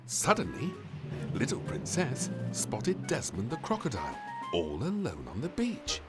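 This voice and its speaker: A man reading a children's storybook aloud, with warm, expressive storytelling. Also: mid-range voice, a little slow, loud music behind the voice. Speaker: storybook narrator. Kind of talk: children's read-along narration.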